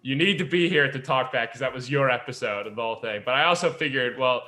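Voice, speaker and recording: A man's voice: medium pitch, fast, bright, forceful tone. A young man hosting a casual group chat, talking in a rambling, jokey way. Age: young adult. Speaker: podcast host.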